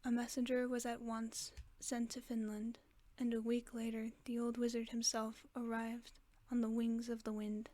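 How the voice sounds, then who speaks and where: Softly whispering woman reading a bedtime story. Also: a little high, completely flat delivery, moderate pace, few pauses, no echo. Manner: soft, mostly unvoiced whisper. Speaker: ASMR storyteller.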